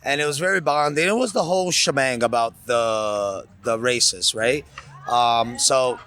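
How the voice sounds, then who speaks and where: A man's voice: medium pitch, unhurried, bright, forceful tone. A man working a vendor booth, chatting enthusiastically in an outdoor interview at a race event. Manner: enthusiastic, conversational.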